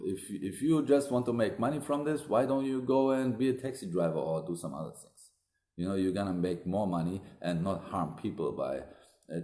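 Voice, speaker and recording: A man's voice: sits low, dark tone. A man gives an earnest, emphatic talk as a Daoist teacher, repeating himself for stress, recorded up close on a phone camera indoors. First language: German. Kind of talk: livestream talk to viewers. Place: room indoors. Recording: echoey room.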